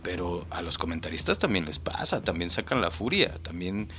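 A man hosting a podcast with a low voice and a bright, forceful edge. He comments on clips in a mock-solemn, playful tone and speaks straight to the listener, with faint music underneath. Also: sing-song, normal speed. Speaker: podcast host.

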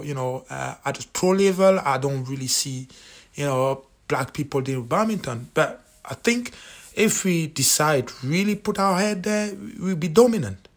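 Cameroonian-accented man, casual vlog chat, fairly high voice, lots of fillers. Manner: animated, repeats himself, many fillers. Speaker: vlogger. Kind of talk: casual countdown commentary.

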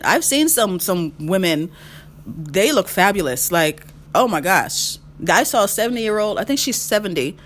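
A woman in her mid-thirties hosting a solo podcast, giving emphatic advice, repeating her key phrases and swinging her pitch when she makes a point. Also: a bit bright. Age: 30s. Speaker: podcast host.

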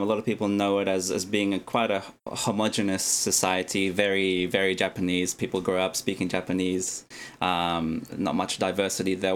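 Deep-voiced relaxed interviewer. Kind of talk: casual interview questions.

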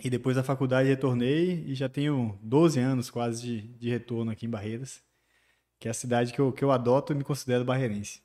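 A man talks as a guest on a casual podcast. His voice sits in an ordinary middle range. He tells his memories in a relaxed, rambling way and often adds little tag questions. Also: mid-range voice, a little fast. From Bahia, Brazil. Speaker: guest, construction company chief.

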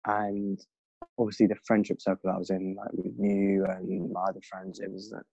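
Deep, flat-voiced young English man. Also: moderate pace, gravelly, slightly rough, no echo. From London, England. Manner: reflective, earnest. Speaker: podcast guest. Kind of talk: casual conversation. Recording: dull lo-fi dry recording.

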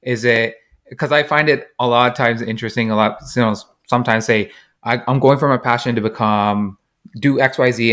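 A man's voice: medium pitch, fairly bright tone. A man hosting a podcast, asking long, hedging questions full of fillers. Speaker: podcast host. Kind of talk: interview questions.

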